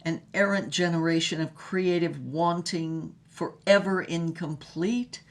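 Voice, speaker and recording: An actress playing a flamboyant, rapturous screen star reading her own journal in a florid, theatrical manner. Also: lowish voice. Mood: grand, rapturous. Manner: florid, theatrical, measured phrasing.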